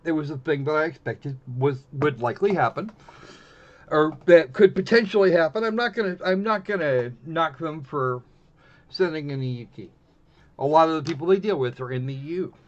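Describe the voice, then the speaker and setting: Higher-pitched man, rambling streamer, dull mic. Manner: rambling, halting and repeating himself, swears casually. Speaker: game streamer and host. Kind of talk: casual live-stream commentary while setting up a visual novel. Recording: dull lo-fi mic with music behind. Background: background music.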